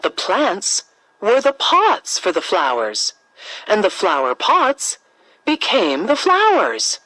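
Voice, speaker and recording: A woman narrating a scripted children's fairy tale as a storyteller, with expressive, dramatic emphasis. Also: mid-range voice, takes it slowly, no room sound, rough recording.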